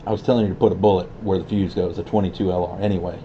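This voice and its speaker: A man's voice: deep voice, steady tone, rapid-fire. A man chatting casually with other hobbyists over a CB radio. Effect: CB radio transmission. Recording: dull, noisy CB radio. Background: radio static.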